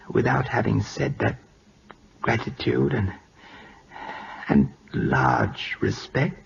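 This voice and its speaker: Proud dying Siamese king: slow, gravelly, imperious broken English, short of breath, old radio play. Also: mid-range voice, full character voice. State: weak, breathy, labouring for breath. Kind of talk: 1940s radio drama acting. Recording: dull, noisy old radio recording.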